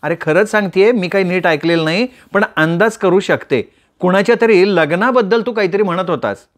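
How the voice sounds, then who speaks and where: A man's voice: high-pitched, pitched above the performer's own voice, close to an everyday voice. A man voicing a playful teasing young woman. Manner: teasing, quick, bantering. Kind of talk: storytelling with voiced characters.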